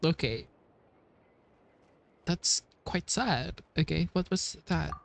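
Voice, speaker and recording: A man giving casual gameplay commentary as a streamer, musing in a halting way and repeating bits of dialogue. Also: fairly high pitch, vocal fry. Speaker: game streamer.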